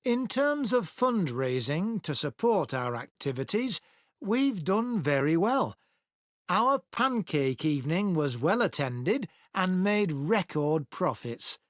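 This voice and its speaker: A man acting a cordial association chairman, giving a clear, measured scripted speech for a listening test. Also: high-pitched, no echo, clean studio sound, exaggerated voice. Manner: clear, measured public address. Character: cordial male chairman of a town association addressing members.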